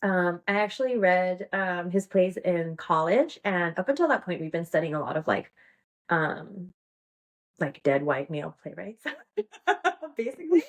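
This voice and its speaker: A woman's voice: lowish voice, animated, unhurried. A woman in her thirties, a podcast guest chatting casually, rambling with many fillers and frequent giggles. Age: 30s. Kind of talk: casual interview chat.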